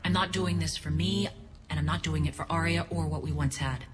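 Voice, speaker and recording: An actress playing a principled, wary alien ex-soldier who leads a resistance in a video game, earnest and measured. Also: female voice, low-pitched, fairly even intonation, lightly acted. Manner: earnest, measured, dryly pointed. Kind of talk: dramatic video game acting. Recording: long reverb, noisy background.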